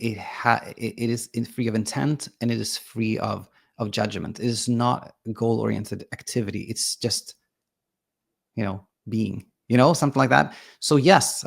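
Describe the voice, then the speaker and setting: A man whose first language is Swedish talks through ideas as a sleep coach, with a gravelly edge to his voice. He stops, restarts and fills gaps with "you know" and "like" while he thinks aloud. His pitch sits in the ordinary middle for a man. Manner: hesitant, many restarts and fillers; reads viewer comments aloud. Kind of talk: explanatory monologue.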